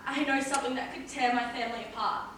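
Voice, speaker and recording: A woman's voice: high voice, fairly even intonation, quick pace, close to an everyday voice. A woman playing a troubled, exasperated family member with melodramatic delivery in an amateur stage play. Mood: anxious, confiding. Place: college theatre stage with audience behind. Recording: very echoey hall, distant, loud audience and noise.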